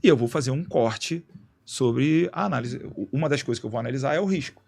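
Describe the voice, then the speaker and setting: Gravelly man explaining in slangy talk. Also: mid-range voice, brisk. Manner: explains in a relaxed, slangy way with many restarts and "né?" tags. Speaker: guest, executive director of an institute. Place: radio studio.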